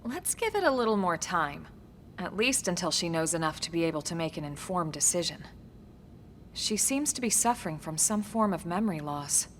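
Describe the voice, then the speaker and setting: An actress playing a composed, dutiful military officer in a video game cutscene, calm, serious and measured. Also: female voice, fairly low pitch, slight background noise. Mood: measured, concerned.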